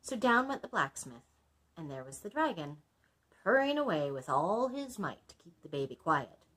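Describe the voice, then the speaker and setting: A woman's voice: lowish voice, sing-song, moderate pace, a bit bright, rough recording. A woman reading a children's story aloud as a librarian, expressively, with small asides to listeners.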